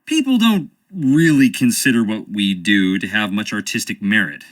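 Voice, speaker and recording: A man's voice: fairly low pitch, expressive, normal speed, natural voice. An actor playing a charming, evasive young stage actor with an American accent, wry and theatrical as he dodges questions. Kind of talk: video game voice acting.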